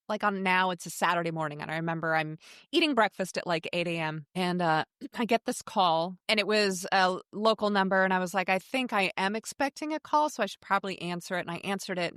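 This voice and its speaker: A woman's voice: on the low side, fairly bright tone, dry recording, studio quality. An American woman in her forties telling a personal story as a podcast guest, frank and casual, acting out dialogue and swearing now and then. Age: 40s.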